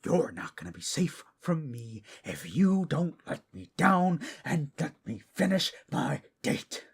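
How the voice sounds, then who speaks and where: A man voicing an obsessive, menacing male kidnapper in a creepy audio roleplay, with a slow, threatening murmur. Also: a little high, big pitch swings, gravelly, husky, exaggerated voice. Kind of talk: creepy ASMR roleplay acting.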